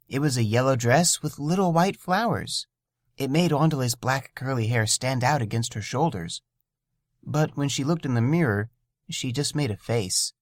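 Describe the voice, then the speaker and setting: A man narrating a scripted story in a gentle, wondering way. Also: medium pitch, dry recording, clean studio sound.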